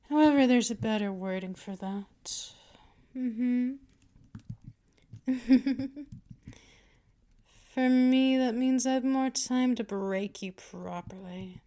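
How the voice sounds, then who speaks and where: A woman's voice: on the high side, mellow. A woman playing a dominant, patient vampire mistress in a scripted ASMR roleplay, speaking in a condescending, teasing purr straight to the listener. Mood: domineering. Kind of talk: scripted ASMR roleplay, intimate second-person address.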